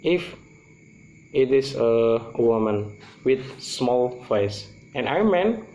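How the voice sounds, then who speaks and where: A young Indonesian man with a clear Indonesian accent, speaking English as a second language. He talks slowly and haltingly, pausing often to find the next word. His voice sits at an average pitch for a man. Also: lively intonation, warm tone, slight background noise, low-quality recording. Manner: halting, searching for English words. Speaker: student presenter. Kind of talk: class presentation.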